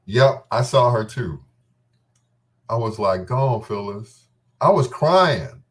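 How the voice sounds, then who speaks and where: A man's voice: medium pitch, expressive, slow pace. A man hosting a talk show with a casual, unscripted monologue, gossipy and emphatic, often repeating his phrases. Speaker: host of a bedtime talk show.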